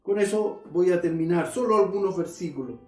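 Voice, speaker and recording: A man's voice: sits high, a little fast, a little mellow, recorded from a distance, faint music behind. A male pastor preaching a sermon, earnestly addressing his listeners and reading scripture aloud. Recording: roomy echo.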